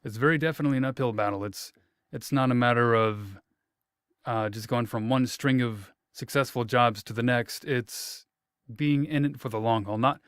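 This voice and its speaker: A man hosts a relaxed interview with a smooth, resonant voice. He talks in a thoughtful, rambling way, repeats himself often, and pokes fun at himself. The sound is close and dry. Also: mid-range voice, normal speed, no echo. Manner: thoughtful, wordy, repeats himself, light self-mocking jokes. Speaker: interviewer, host. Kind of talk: casual interview chat.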